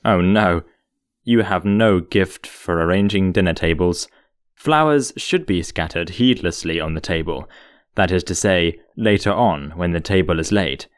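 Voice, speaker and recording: Low-voiced, witty young man-about-town. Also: unhurried, dry recording. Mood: breezy, bossy. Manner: dry, ironic, bossily playful. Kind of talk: audio stage play acting.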